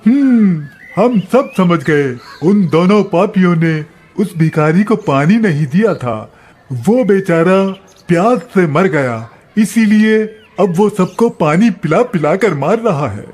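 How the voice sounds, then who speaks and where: A man playing old village characters, a beggar and a holy man, with a solemn, drawn-out way of talking in a horror story. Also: high-pitched, lively intonation, darkish tone, breathy edge, exaggerated voice.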